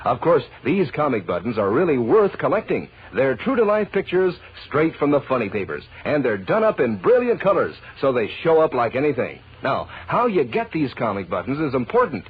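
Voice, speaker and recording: A man's voice: mid-range voice, big pitch swings, normal speed, hardly any pauses, husky, narrow, tinny audio. An American man announcing a 1940s radio advertisement with enthusiastic, folksy salesmanship.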